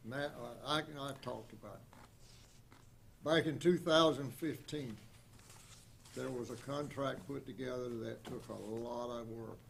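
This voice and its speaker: A man chairing a town board meeting, speaking in a grave, deliberate way. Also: mid-range voice, vocal fry, fairly bright tone, a little hiss or hum. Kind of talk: meeting discussion.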